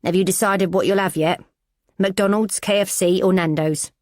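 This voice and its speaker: A woman's voice: mid-range voice, steady tone, normal speed, darkish tone, dry recording, clean studio sound, voice pushed up, close to an everyday voice. A woman voicing a tough, throaty East London prison inmate with a cheeky, teasing manner.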